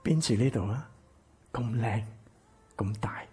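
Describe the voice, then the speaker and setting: An actor playing a wounded, embittered rich man in a Cantonese radio drama, with a Hong Kong accent and a breathy, husky voice. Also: on the low side, measured pace, mellow. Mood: calm, measured. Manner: intense, dramatic. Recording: music behind the voice.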